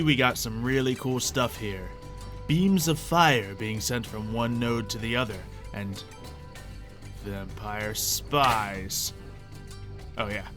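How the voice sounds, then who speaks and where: A man's voice: mid-range voice, a bit bright. A man hosting a gameplay video with playful, chatty, theatrical commentary that often interrupts itself. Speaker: gaming video host. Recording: music behind the voice. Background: music.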